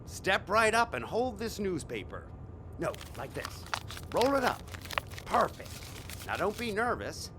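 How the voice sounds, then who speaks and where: A man's voice: high-pitched, sing-song, a little slow. An actor in an audio drama playing a flustered, defensive father who is a failed stage magician, exasperated and stammering. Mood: showman, nervous. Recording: clearly noisy background ambience.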